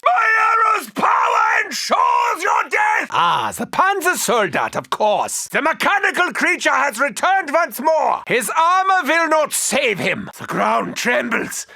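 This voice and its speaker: An actor playing an arrogant, menacing German-accented mad doctor in a video game, with grandiose, formal, theatrical delivery. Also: male voice, extremely high pitch, gravelly, pushed and bright, heavily acted. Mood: wrathful, then defiant, scornful. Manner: grandiose, formal, precisely worded. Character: arrogant, menacing male mad doctor battling undead hordes.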